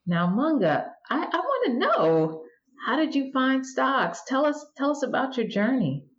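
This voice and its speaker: A woman's voice: high-pitched, big pitch swings, measured pace, lo-fi sound. A woman hosting a podcast, eager and encouraging toward her guest. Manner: encouraging, eager, repeats words. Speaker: podcast host.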